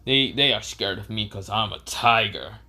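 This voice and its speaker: A man's voice: lowish voice, moderate pace, sharp tone, heavily acted. A man voicing an arrogant, boastful tiger in a fan story, scoffing at others.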